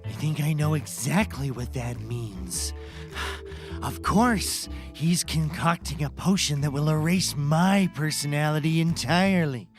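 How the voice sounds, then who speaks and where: Man playing proper fussy mad scientist for a comedy, talks slow, anxious n exasperated, music under him. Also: medium pitch, close to an everyday voice. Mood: grave, dramatic. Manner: formal, measured, exasperated. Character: polite, anxious, impatient male mad scientist. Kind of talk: scripted comedy acting.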